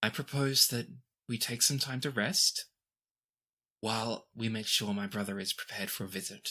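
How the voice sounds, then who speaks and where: Man playing a gracious, regal princess, crisply bright. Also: lowish voice. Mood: composed. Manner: stately, measured royal diction.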